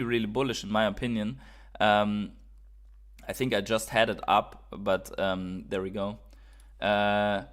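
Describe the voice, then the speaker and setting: A man's voice: fairly low pitch, fairly bright tone. A man from Germany, a native German speaker with a German accent, hosting a live stream with enthusiastic, informal market commentary and chat. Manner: enthusiastic, informal, reading out and answering viewer messages.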